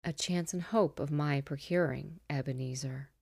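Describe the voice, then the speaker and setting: A woman reading aloud, voicing a tormented male ghost in chains who speaks slowly and often sinks to a breathy whisper. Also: deep voice, darkish tone, dry recording, studio quality, heavily acted. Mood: mournful. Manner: slow, dragging, breathy whispering delivery.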